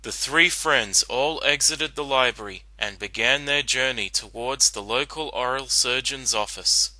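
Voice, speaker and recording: A man's voice: medium pitch, pushed and bright, dry recording, poor audio. A man giving a scripted dramatic reading as the narrator of a story.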